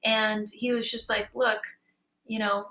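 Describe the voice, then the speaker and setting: A woman talks casually as the moderator of a panel discussion. Her voice is on the high side and distinctly bright. She is chatty and reflective, like a creator comparing notes with colleagues. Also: level tone, measured pace. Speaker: panel moderator, audio drama creator and musician.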